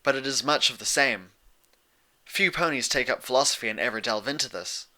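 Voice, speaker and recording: A man voicing a regal, patient elder-sister pony princess with measured, formal, guarded speech in a storybook reading. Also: medium pitch, bright, forceful tone, pitched above the performer's own voice. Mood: reflective. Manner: measured, formal, reflective phrasing.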